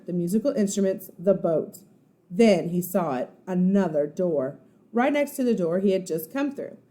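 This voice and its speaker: A woman, a first grade teacher, narrating a children's storybook in an expressive, suspenseful, dramatic way. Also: fairly low pitch. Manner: suspenseful, dramatic, pauses to explain words.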